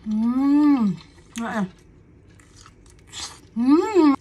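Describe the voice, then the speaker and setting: High-voiced Indonesian woman tasting food. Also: expressive, very slow, warm tone. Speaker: food vlogger. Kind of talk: casual mukbang taste-test chat.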